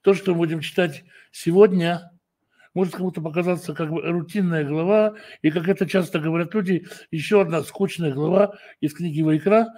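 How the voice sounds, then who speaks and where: A man praying and then teaching from Scripture, speaking slowly and deliberately, often pausing to correct his own words. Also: fairly high pitch, darkish tone. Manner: slow, measured delivery with frequent hesitations and self-corrections. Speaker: preacher and Bible teacher. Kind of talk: prayer, then a Torah study lesson.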